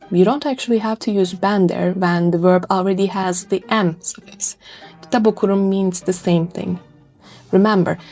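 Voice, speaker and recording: A woman's voice: mid-range voice, a little mellow. A woman presenting a scripted educational video essay, speaking earnestly and expressively straight to the listener. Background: background music.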